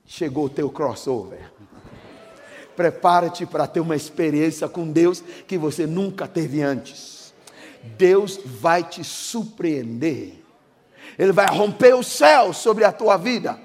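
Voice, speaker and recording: A middle-aged Brazilian pastor preaching with a high, bright voice. He drops to a hushed storyteller's tone, then builds to shouted declarations. A long church-hall reverb trails every phrase. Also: animated, takes it slowly. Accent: Brazilian Portuguese. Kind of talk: sermon with personal testimony.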